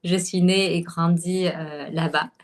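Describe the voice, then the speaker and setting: A woman from Algeria, a podcast guest, talking casually with many pauses and fillers. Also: on the low side, steady tone. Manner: hesitant, many fillers and restarts. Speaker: podcast guest, holistic nutrition coach. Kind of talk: casual conversational interview.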